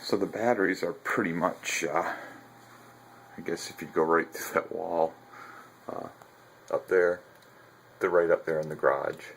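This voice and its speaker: A man with a very low, level voice talks through his battery setup while moving from garage to basement. He pauses often, fills gaps with uhs, and corrects himself as he goes. The camera mic catches a faint hiss behind him. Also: steady tone, creaky. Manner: halting, frequent ums and uhs, self-correcting. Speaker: video blogger showing his ham radio setup. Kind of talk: casual walkthrough narration.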